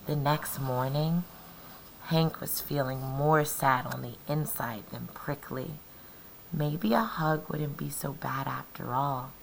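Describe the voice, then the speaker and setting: Slow, creaky-voiced woman teacher reading aloud. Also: rumbling low, a little hiss or hum, poor audio. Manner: deliberate, questioning, explains to young pupils. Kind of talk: interactive storybook read-aloud.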